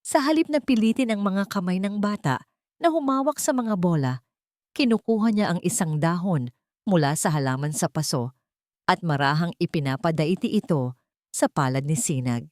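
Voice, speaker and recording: A woman narrating a scripted drama story in a measured, dramatic storytelling manner. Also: lowish voice, expressive, a little mellow, dry recording, clean studio sound.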